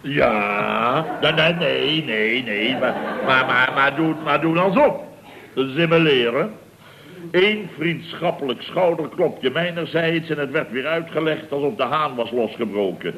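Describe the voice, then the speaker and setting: A man's voice: medium pitch, measured pace, pushed and bright. An actor playing a boastful, self-important male building contractor with a Dutch accent, telling tales in a pompous, stammering, chuckling way in a radio comedy serial. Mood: smug, amused. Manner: pompous, stammering repetitions, chuckling, mock indignation.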